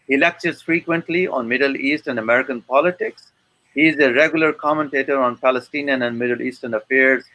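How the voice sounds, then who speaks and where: Halting male webinar host, dry sound. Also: medium pitch, fairly bright tone, no echo, poor audio. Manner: hesitant, halting delivery with repeated words. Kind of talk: hosting an online webinar, introducing guests. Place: online video webinar.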